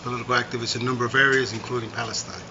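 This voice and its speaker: A man's voice: medium pitch, steady tone, rapid-fire. Casual male union organizer. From New York. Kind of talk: self-introduction. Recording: dull, noisy.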